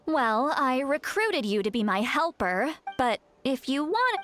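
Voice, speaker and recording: Video game heroine: young, caring, spirited adventurer. Highish voice, casual and feisty delivery. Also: female voice, sing-song, measured pace. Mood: brisk, reassuring. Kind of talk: video game character dialogue. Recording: music behind the voice.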